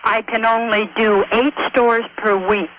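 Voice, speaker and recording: A man calling a radio show, explaining a problem in a measured, hesitant, precise way over the telephone. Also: sits high, little pitch movement. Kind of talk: explaining a work problem by phone. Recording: muffled noisy phone line on radio.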